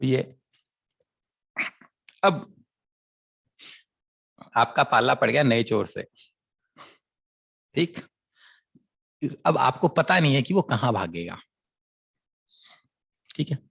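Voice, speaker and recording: Guy w a sore throat teaching maths, gravelly husky voice, keeps asking if u get it. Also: medium pitch, sing-song, extremely slow, pauses often, a bit bright. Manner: explains a word problem as a story, checking often that it is understood. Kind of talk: classroom lecture.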